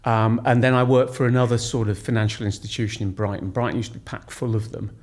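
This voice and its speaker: A man in his fifties from Lancashire, guesting on a podcast, looks back on his career in a calm, measured way. His voice sits at an ordinary middle pitch. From Lancashire, England. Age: 50s. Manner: measured, reflective storytelling. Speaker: podcast guest, recruitment director. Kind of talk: casual conversational interview.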